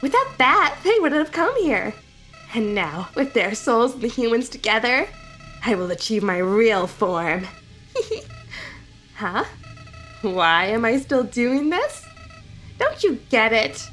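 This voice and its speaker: Girl doing a nasty gloating evil flower, high and swooping, slow and drawn out. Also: big pitch swings, a bit bright, soft background music, full character voice. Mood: smug. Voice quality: mocking, taunting. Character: sneering evil flower, boyish, cruel and gleeful.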